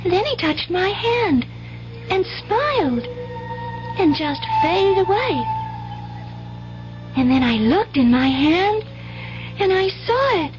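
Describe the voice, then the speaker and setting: A woman's voice: very high voice, big pitch swings, slow, long pauses, full character voice. An actress playing an earnest, dreamy little girl in a 1970s radio drama, folksy and full of childlike wonder. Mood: wonderstruck, dreamy. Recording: muffled 1970s radio recording, music behind.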